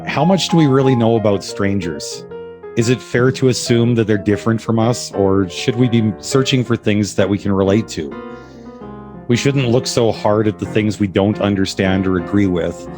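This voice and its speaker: A man hosting a podcast interview, relaxed and conversational, with small stammers as he asks questions. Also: lowish voice, moderate pace, music in the background. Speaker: podcast host.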